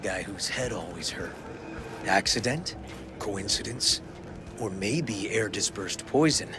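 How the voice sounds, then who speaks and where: A low-voiced, cynical police detective. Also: male voice, slow pace, music in the background. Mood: wry, musing. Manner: terse, dry, streetwise. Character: cynical, hard-nosed male police detective, wry and tough. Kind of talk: video game voice acting. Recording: clear noise behind the voice.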